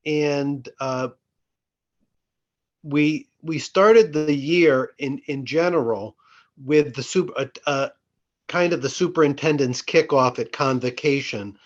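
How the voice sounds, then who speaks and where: A man giving an informal report as a school board chair, explaining things in a halting way. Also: fairly high pitch. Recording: dull lo-fi meeting audio.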